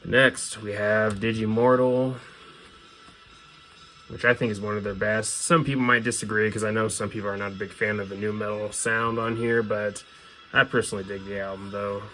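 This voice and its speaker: A man presents a collection in a casual, opinionated fan's style. His voice sits a little low and stays very even in pitch, yet it has a hard, bright edge. There is faint noise behind him. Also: normal speed, rough recording. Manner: casual, opinionated fan talk. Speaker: host presenting their music collection. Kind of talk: casual show-and-tell commentary.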